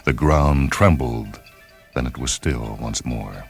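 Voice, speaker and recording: Extremely deep-voiced man narrating a story. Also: slow pace, soft background music. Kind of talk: scripted dramatic story narration.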